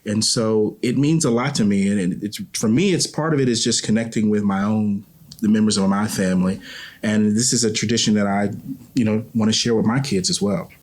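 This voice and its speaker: Give the voice of a man who is a guest on an interview show. His pitch is a little low. He talks casually and reflectively, with halting, thoughtful phrasing. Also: fairly even intonation.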